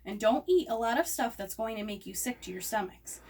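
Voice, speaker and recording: A woman's voice: on the high side, animated, brisk, darkish tone, husky. A woman voicing an easygoing, practical farm father in a storybook, plain and folksy. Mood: easygoing, instructing. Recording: distant microphone, noisy room ambience.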